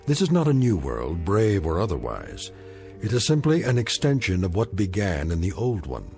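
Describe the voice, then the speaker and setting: An actor as a grave, solemn narrator of eerie anthology drama, speaking deliberately and portentously. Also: male voice, sits low, sing-song, a little mellow, faint music behind. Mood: grave, ominous. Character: grave, ominous male narrator of eerie tales.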